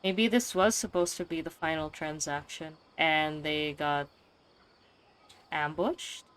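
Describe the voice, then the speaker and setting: A woman doing casual, expressive, reactive commentary as she plays a video game. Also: deep voice, slow, background noise.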